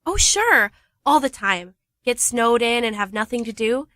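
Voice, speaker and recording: A woman's voice: a little high, expressive, measured pace, fairly bright tone, dry recording. A woman playing Diana, a chatty, teasing wife living in a remote cottage. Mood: nostalgic, cheerful. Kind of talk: amateur radio play.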